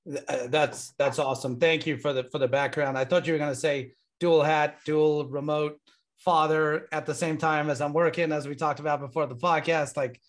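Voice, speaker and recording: A man's voice: fairly high pitch, steady tone, moderate pace. A man hosting a podcast, upbeat and enthusiastic, introducing and questioning a guest. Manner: upbeat, enthusiastic, frequent ums. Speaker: podcast host. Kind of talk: casual interview hosting.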